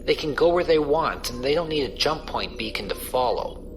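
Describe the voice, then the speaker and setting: A man's voice: fairly high pitch, animated, moderate pace, music in the background. A man playing a casual, wry space pirate crewman who explains things colloquially in a science fiction audio drama. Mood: casual, explanatory. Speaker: voice actor.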